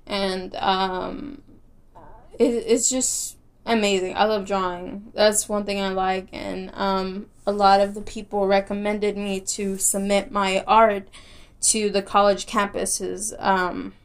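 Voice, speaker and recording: A woman's voice: medium pitch, level tone, takes it slowly, clean tone. A young woman hosting her own podcast, speaking with a light Texas country accent. She rambles in a casual, chatty way, laughing easily and circling back with plenty of fillers and restarts. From Texas, United States. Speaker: podcast host. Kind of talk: casual solo podcast chat.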